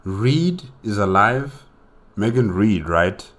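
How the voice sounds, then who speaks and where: A man giving casual, unscripted reaction commentary, musing in a hesitant, halting way. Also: lowish voice, big pitch swings, fairly bright tone, slight background noise. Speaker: reaction video host.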